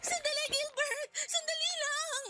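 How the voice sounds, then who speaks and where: A woman's voice: very high-pitched, flat delivery, a little slow, over music. A woman playing a desperate, furious mother abandoned by her partner in an emotional, tearful radio drama. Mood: tearful pleading. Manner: pleading, emotional outbursts. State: crying. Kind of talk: Tagalog radio drama acting.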